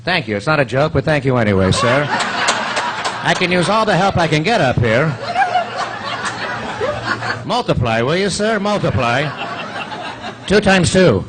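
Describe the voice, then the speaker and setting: A leering comedian hosting an old radio quiz. He teases and ad-libs slyly, with a very lively, swinging delivery. The live stage recording has clear noise behind him. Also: on the high side, a little slow, pauses often. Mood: teasing. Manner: sly, teasing, ad-libbing banter. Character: wisecracking leering male quiz show host comedian. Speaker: quiz show host.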